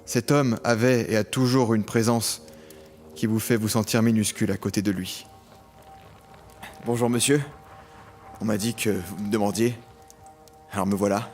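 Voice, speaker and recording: A man's voice: fairly low pitch, steady tone, normal speed, pauses often, darkish tone, lightly acted. Man acting eager young apprentice criminal, music behind. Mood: deferential. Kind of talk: audio drama acting and first-person narration. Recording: music under the voice.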